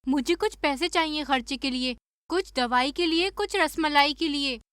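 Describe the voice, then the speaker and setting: Woman as nagging village wife, cartoon, high bright voice, sharp-tongued, sarcastic, scolding, dry sound. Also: moderate pace, no echo. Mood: demanding. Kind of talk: Hindi cartoon story dubbing.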